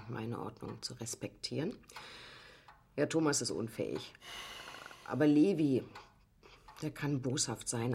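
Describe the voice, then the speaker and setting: A woman's voice: extremely low pitch, husky, full character voice. An actress playing a cold, self-pitying mother who is obsessed with order, in a radio drama. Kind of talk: interview-style radio drama monologue.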